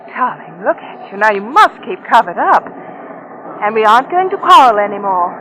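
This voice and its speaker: Scheming wife for 1940s radio mystery: theatrical sugary courtesy, coolly calculating underneath, on a lightly noisy vintage recording. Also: female voice, mid-range voice, very animated, normal speed, fairly bright tone. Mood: sugary, soothing.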